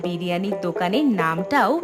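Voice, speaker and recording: A woman's voice: fairly low pitch, expressive, fairly quick, lightly acted. A woman voicing a shrewd, bossy village wife in a cartoon, with a managing manner. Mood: assured. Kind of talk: cartoon voice acting. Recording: loud plucked-string music over voice.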